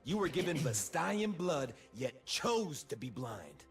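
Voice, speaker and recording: A flamboyant, vain bird-man warrior from a video game, with a high, slightly rough voice. His pitch swings wildly as he swaggers and mocks, theatrical and playful, over music. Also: very animated, slow pace, full character voice. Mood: grave defiance. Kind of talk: video game character voice lines.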